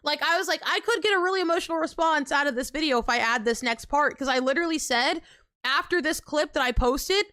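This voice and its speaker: A young woman talks to her live-stream viewers in a high, bright voice, riffing casually and emphatically with plenty of fillers. She is recorded close and dry, with no room sound. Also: quick pace, no echo. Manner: animated, filler-heavy, emphatic, frequent laughs and pitch jumps. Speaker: livestreamer.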